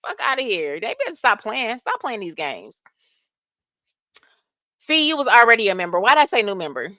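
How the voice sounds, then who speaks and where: A woman hosting a casual livestream chat, reading and answering viewer comments. She has a soft voice and speech, and she talks in an animated way, cursing freely. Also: fairly high pitch, moderate pace, dry recording. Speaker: livestream host.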